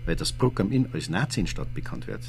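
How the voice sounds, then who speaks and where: An actor playing an easygoing small-town police desk officer, chatting in colloquial Bavarian as in a radio drama. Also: male voice, lowish voice, very animated, brisk, creaky, a little mellow, slight background noise. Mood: gossipy. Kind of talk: naturalistic radio drama dialogue.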